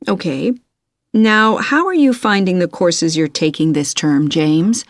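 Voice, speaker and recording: A woman playing a courteous, methodical university tutor in a scripted listening-test dialogue, measured and encouraging in her advice. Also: on the low side, no room sound, lightly acted. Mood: courteous, advisory.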